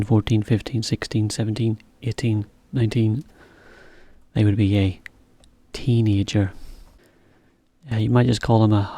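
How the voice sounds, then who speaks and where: A man teaching a beginner English lesson, explaining slowly in plain words with example sentences. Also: on the low side, warm tone, no echo.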